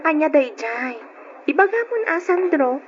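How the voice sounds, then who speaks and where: Radio drama heroine: coy young student, high voice, playful and expressive, noisy lo-fi recording. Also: female voice, measured pace. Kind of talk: old radio drama acting.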